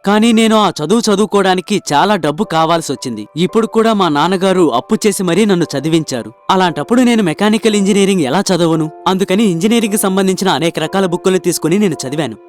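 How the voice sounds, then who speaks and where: Man acting as hopeful young inventor, high voice, earnest explaining manner, cartoon story dialogue, music behind. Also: lively intonation, quick pace, few pauses. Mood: earnest, respectful.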